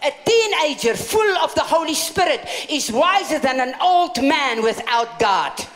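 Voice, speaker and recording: A woman in her sixties preaches in a lively, playful way, laughing often as she speaks. Her voice sits high. The sound carries a long hall reverb. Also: unhurried. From South Africa. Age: 60s. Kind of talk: sermon through an interpreter. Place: large hall with audience.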